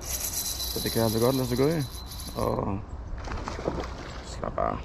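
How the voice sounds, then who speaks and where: A man's voice: mid-range voice, wildly swooping pitch, vocal fry, background noise. A man narrating his own fishing trip in a chatty, rambling way, recorded on a head-mounted camera outdoors at a lakeside. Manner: chatty, self-correcting, rambling. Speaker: fishing vlogger. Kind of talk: casual fishing vlog narration. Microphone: head-mounted action camera.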